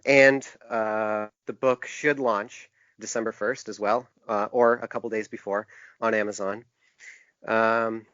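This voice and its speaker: Halting middle-aged man in a dry, muffled recording. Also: medium pitch, fairly bright tone, clean tone, no echo. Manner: hesitant, halting, self-reflective. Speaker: podcast guest, author and small publisher. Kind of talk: casual interview conversation.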